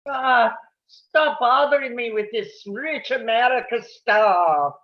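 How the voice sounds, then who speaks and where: An easygoing Sicilian countryside companion, played by a man in a table read, with a strikingly high voice for a male. He teases wryly at a slow, amused pace, and the sound is a bit muffled. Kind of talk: live table read of a film script.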